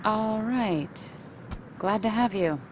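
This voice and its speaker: An actress playing a determined, caring investigator in a video game, direct and dryly wry. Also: female voice, medium pitch, slow pace, background noise, close to an everyday voice. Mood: concerned, hopeful.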